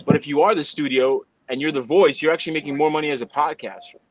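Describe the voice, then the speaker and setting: A man's voice: fairly high pitch, quick pace, highs cut off, background noise. A young man hosting a casual podcast chat, rambling and swearing, often stumbling and repeating words. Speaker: podcast host. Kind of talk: casual group chat on a video call.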